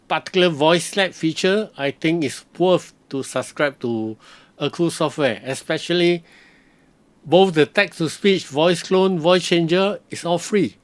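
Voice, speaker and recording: Man, software reviewer, slightly high voice, tutorial narration, halting stop-start phrasing. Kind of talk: screen-recorded tutorial narration.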